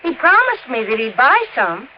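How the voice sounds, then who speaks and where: An actress playing a brassy, flirtatious, callous widow of about thirty in a 1950s radio drama, with a slangy, blunt, offhand manner. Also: female voice, high-pitched, huge pitch swings, normal speed. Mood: defensive, brazen. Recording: muffled vintage radio recording, background hiss.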